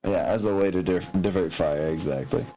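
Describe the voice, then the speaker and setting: A man talking casually as game master of a tabletop game, explaining rules in a halting, think-aloud way. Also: low-pitched, mellow, soft background music.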